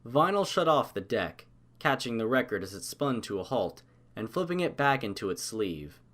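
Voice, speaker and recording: A man's voice: mid-range voice, very animated, normal speed, a bit bright. A man giving a scripted narration of a story as an audiobook narrator.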